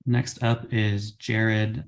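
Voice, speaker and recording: Gravelly, quick-talking man chairing a meeting. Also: lowish voice, level tone. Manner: brisk, procedural. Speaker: meeting chair calling public speakers. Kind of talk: formal meeting procedure.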